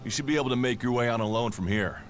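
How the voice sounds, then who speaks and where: An actor playing a friendly, watchful warrior guide in a video game, advising in a plain-spoken way. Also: male voice, medium pitch, rapid-fire, noisy background, lightly acted. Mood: advising, cautionary.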